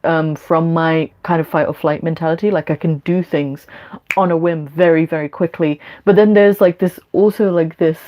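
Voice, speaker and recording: A woman's voice: sits low, fairly even intonation, normal speed, dark tone. A young woman live streaming, talking candidly and rambling with many fillers and restarts.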